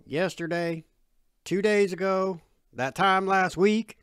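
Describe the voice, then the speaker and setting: A woman voicing a gruff, folksy, middle-aged country father with slangy, homespun phrasing in an audio story. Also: low voice, expressive, unhurried, no echo, studio quality, voice pushed down. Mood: irritated.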